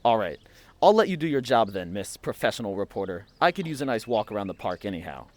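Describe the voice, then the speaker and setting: A man's voice: on the low side, big pitch swings, quick pace. A man playing a cheeky, reckless friend in an audio drama, teasing and theatrical in manner. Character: cheeky, reckless, mischievous male friend of a reporter. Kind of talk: scripted audio fiction acting.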